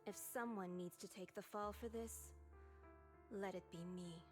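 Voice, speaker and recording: An actress playing a resolute, self-sacrificing female retainer in a dramatic video game, speaking in a solemn, measured way over music. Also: mid-range voice, fairly even intonation, lightly acted.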